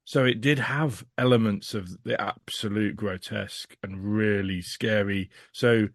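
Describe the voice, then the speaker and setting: Low-pitched man chatting casually. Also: steady tone, unhurried, no room sound, studio quality. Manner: rambling, emphatic, frank and sweary. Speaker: podcast guest reviewer. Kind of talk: casual review chat.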